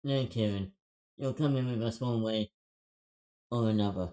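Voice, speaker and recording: A man's voice: lowish voice, moderate pace, warm tone, natural voice. A man playing a stubborn, self-sacrificing survivor in a screenplay table read, terse and understated. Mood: firm.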